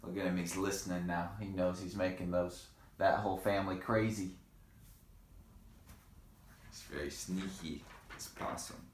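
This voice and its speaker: A man's voice: deep voice, fairly even intonation, a little slow, pauses often, a little hiss or hum. A man reading a picture book aloud to children in a playful, dramatic way, with chatty asides to the kids. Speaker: read-aloud storyteller for children. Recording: roomy, echoing room sound.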